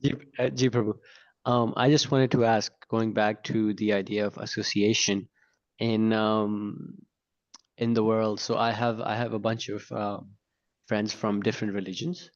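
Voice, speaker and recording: Hesitant low-voiced man, flat delivery. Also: unhurried, muffled recording. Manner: hesitant, with many restarts. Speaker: audience member asking questions.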